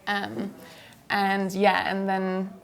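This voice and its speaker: A woman's voice: mid-range voice, completely flat delivery, very slow, vocal fry. A young woman from the UK, a filmmaker guest answering interview questions hesitantly, full of fillers, and tired from travel.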